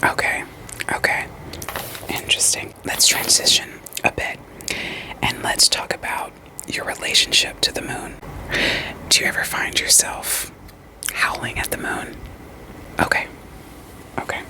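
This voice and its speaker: A woman whispering an ASMR roleplay as a therapist, in a soft, deadpan, gently questioning manner. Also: a little slow, pauses often, poor audio.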